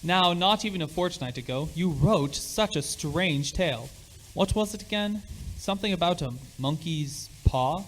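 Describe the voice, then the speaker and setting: A man's voice: a little high, lively intonation, moderate pace, a little hiss or hum, natural voice, rough recording. A man playing a sociable middle-aged father in an amateur radio play, with affable, old-fashioned phrasing. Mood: curious.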